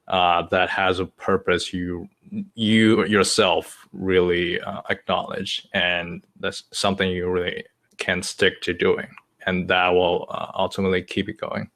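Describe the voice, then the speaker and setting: Deep-voiced young man. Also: level tone, fairly bright tone. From China. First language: Chinese. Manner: measured, halting, pausing often to think. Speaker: podcast co-host. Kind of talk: casual podcast conversation.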